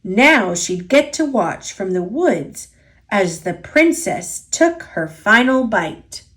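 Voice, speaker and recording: A woman reading a children's picture book aloud as a storyteller, expressive and deliberate, drawing out key words. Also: medium pitch. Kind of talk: children's story read-aloud, scripted narration.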